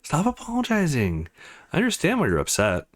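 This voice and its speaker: A man's voice: a little high, huge pitch swings, fairly quick, voice pushed up. A man as a laid-back, wry young guy, Jack. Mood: calm. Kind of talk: character dialogue in a visual novel read-through.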